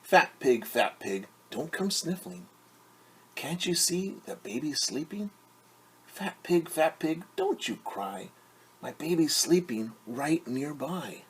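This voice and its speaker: A man reading a picture book aloud to young children as a teacher, with sing-song refrains and hushed, whispered asides. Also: fairly high pitch, big pitch swings, slow pace, breathy edge.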